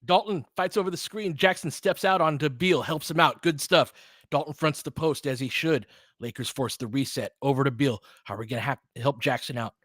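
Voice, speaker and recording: A man's voice: mid-range voice, fairly quick, fairly bright tone, no room sound. Dry, close-sounding man calling live basketball. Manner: clipped, slangy, conversational phrasing; partisan fan of one team. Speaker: basketball play-by-play commentator. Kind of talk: informal live play-by-play commentary with analysis.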